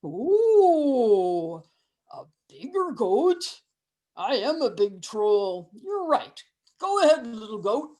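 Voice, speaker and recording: Woman as a hungry storybook troll: folksy drawl, very slow, bit higher than expected. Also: sing-song, dark tone. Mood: scheming. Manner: drawling, folksy, chuckling to himself. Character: grumpy hungry male troll under a bridge. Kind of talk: storytelling with voices.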